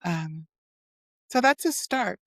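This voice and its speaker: A woman whose first language was Haitian Creole, a psychologist speaking in a thoughtful, measured way. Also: medium pitch, expressive, no echo. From United States (New York and Miami). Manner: thoughtful, measured, with soft fillers. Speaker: guest, clinical and community psychologist. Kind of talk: reflective conversational interview.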